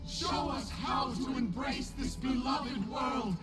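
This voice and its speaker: A devoted, imploring follower cries out in a video game, very high and loud, ringing with reverb. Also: male voice, takes it slowly. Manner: declamatory, imploring. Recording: reverberant hall under music.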